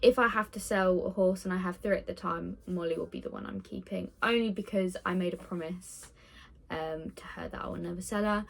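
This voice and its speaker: A woman's voice: lowish voice, steady tone, brisk, gravelly. A nineteen-year-old woman chatting casually in a get-to-know-me vlog, rambling with lots of ums and little laughs. She records on her phone indoors with the window open. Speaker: vlogger.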